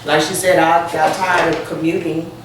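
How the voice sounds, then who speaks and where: Chatty salon owner in an echoey room. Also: male voice, fairly high pitch, little pitch movement, moderate pace, a bit bright. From Kansas City, Missouri. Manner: chatty, rambling, frequent restarts. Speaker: hair salon co-owner, guest. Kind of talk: casual interview. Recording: echoey room, distant mic, noticeable noise.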